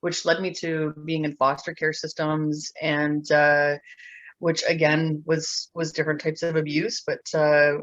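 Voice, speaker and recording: Halting middle-aged woman from Ontario. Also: deep voice, level tone, no room sound, muffled recording. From Ontario, Canada. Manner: halting, many fillers and restarts. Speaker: interview guest. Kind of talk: candid personal storytelling.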